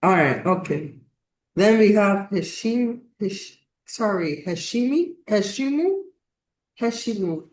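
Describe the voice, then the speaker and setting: Slow-speaking woman hosting a video call. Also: medium pitch, expressive, a little mellow, a little hiss or hum. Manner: slow, stumbles over names, apologetic. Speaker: host. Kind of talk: online meeting roll call.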